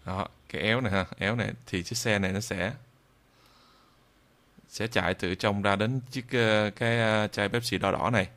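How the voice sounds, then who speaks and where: A man narrating an informal software tutorial in a halting way, with frequent drawn-out hesitations. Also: on the low side, fairly even intonation, slight background noise. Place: indoors at a computer, screen recording voice-over.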